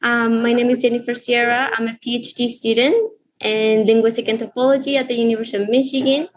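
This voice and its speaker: A woman's voice: a little high, little pitch movement, fast. A young woman with a Colombian Spanish accent, a native Spanish speaker, introducing a podcast as host in a casual way.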